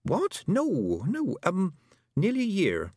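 A man voicing a sharp, practical young girl in a storybook, with a brisk, blunt manner. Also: high-pitched, wildly swooping pitch, breathy edge, dry recording, studio quality, voice pushed up, exaggerated voice. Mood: hesitant, careful.

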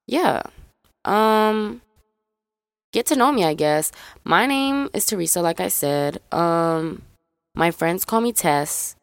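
A woman's voice: fairly low pitch, lively intonation, slow pace, vocal fry, long pauses, clear, resonant tone, dry recording, clean studio sound. A young woman from Memphis with a faded Southern accent, chatting casually and rambling as she introduces her solo podcast. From Memphis, Tennessee, USA. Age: young adult. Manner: chatty, rambling, addresses listeners as y'all. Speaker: podcast host.

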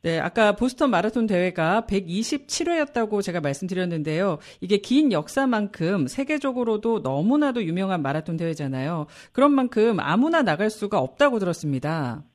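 A radio host interviewing guests with a polite, smooth broadcast delivery. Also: medium pitch, big pitch swings, brisk, hardly any pauses.

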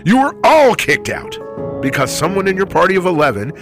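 Male radio crew member, lighter pitch, lively swings, theatrical reader, music bed underneath. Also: fairly quick. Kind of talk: casual banter and dramatic review reading.

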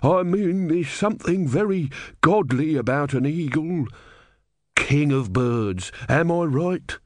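A man doing a droning, pedantic old man, pitched up. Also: expressive, slow pace, no room sound, clean studio sound. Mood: earnest. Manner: boring, unstoppable droning. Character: opinionated, pedantic elderly man in a crowd.

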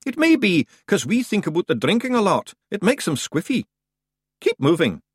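A man performs a tiny Scottish fairy chieftain from a storybook. The voice is pitched high and swings boldly, blunt and rousing, full of thick dialect and boisterous swagger. It is recorded dry and close. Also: huge pitch swings, normal speed, husky, no room sound, heavily acted. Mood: cheerfully brash. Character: tiny brash boisterous male fairy warrior leader.

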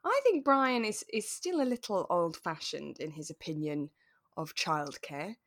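A woman co-hosting a podcast, chatting in a relaxed, explanatory way with playful asides. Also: on the low side, a little slow, no echo, clean studio sound. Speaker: podcast co-host. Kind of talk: relaxed casual discussion of a radio drama.

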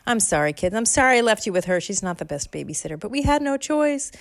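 A woman's voice: mid-range voice, big pitch swings, fast, creaky, no room sound, pitched above the performer's own voice. A woman playing a busy, reasonable mom. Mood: calm. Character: busy, reasonable mother on the phone.

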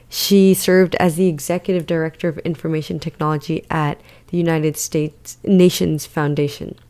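A woman's voice: lowish voice, warm tone, smooth, no room sound. A woman hosting a podcast, reading a guest introduction and then eagerly asking questions. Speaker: podcast host.

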